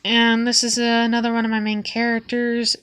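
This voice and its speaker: Young woman, sniffly cold voice, halting hobby narration, plenty of pauses and restarts. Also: a little high, monotone, fairly bright tone, clear, resonant tone. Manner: hesitant, with many ums and restarts. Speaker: vlogger showing her sketchbook. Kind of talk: casual sketchbook walkthrough.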